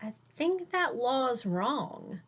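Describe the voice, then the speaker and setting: Lady doing a little girl from a kids book, earnest and plain, pitched up some. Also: sing-song, extremely slow, a bit bright, slightly rough, full character voice. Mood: earnest, questioning. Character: earnest second-grade girl in a children's storybook.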